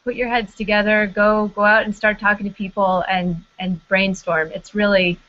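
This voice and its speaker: Brief: upbeat, encouraging woman on a panel, mid-pitched, lo-fi recording. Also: little pitch movement, moderate pace. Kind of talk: online panel discussion. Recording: dull lo-fi sound.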